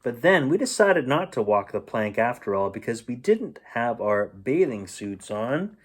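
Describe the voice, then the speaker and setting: A man reading a children's storybook aloud in an expressive, playful storytelling manner. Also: mid-range voice, a little slow, breathy edge. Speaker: bedtime story reader.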